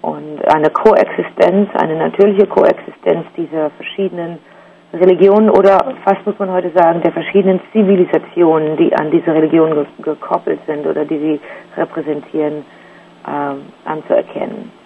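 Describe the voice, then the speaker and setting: A German woman in her forties talks slowly and reflectively, often stopping on "äh" and starting over. Her voice has a gravelly, creaky edge. It comes through a dull, muffled line with a faint hiss underneath. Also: low voice, steady tone. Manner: hesitant, many fillers and restarts. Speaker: interview guest, artist. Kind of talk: reflective interview answers.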